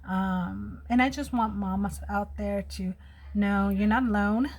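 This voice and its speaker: A woman's voice: mid-range voice, steady tone, unhurried, darkish tone. A woman in her thirties talks to her viewers in a chatty, personal way while she does her makeup. Her voice has a slight lisp. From United States. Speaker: vlogger. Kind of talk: casual chat while doing makeup.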